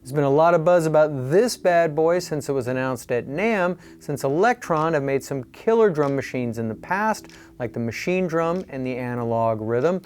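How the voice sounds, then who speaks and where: A man presenting a scripted product review in a clear, upbeat explanatory way. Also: a little high, expressive, normal speed, few pauses, darkish tone, a little hiss or hum.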